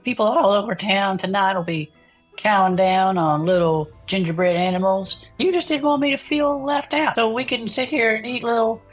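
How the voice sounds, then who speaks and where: A woman playing a folksy, sentimental gnome who hosts a comedic podcast about cryptids, talking in an effusive, drawn-out way. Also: medium pitch, faint music behind, close to an everyday voice. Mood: touched, grateful. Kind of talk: improvised comedic fiction podcast.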